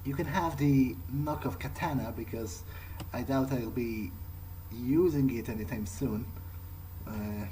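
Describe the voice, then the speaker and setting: Slow, halting man thinking aloud. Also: mid-range voice, mellow, slight background noise. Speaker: player of a role-playing video game, speaking in character. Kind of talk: improvised in-character playthrough monologue.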